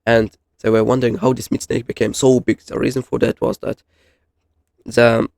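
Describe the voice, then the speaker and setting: Man, casual explainer, halting and rambling, creaky voice, dry close sound. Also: mid-range voice, flat delivery, darkish tone, no room sound. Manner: halting, rambling, self-correcting. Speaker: YouTube host reviewing horror videos. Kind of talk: casual unscripted explainer commentary.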